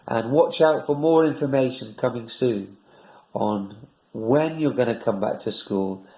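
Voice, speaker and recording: A man's voice: medium pitch, measured pace, dark tone, rough recording. A man from a school staff, speaking encouragingly to young pupils and their parents.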